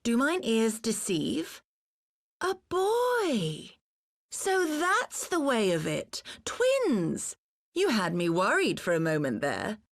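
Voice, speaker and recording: A woman's voice: on the high side, huge pitch swings, slow, heavily acted. A woman playing a playful, menacing huntress villain in a video game cutscene, with a mocking, theatrical drawl. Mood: gleeful, menacing.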